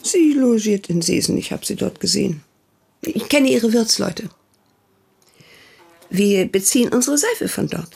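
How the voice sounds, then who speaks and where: A woman's voice: mid-range voice, animated, a little slow, gravelly, long pauses, darkish tone, slightly rough, heavily acted. An actress playing an older, protective and prying sister who questions her brother reproachfully in a radio play. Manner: reproachful, probing, insistent.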